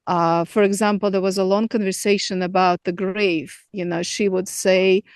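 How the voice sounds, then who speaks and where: A middle-aged woman from Moscow with a Russian accent, a native Russian speaker, answering interview questions thoughtfully with hesitant pauses. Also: mid-range voice, fairly even intonation, smooth, no echo, clean studio sound. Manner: thoughtful, many fillers and restarts. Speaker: filmmaker guest.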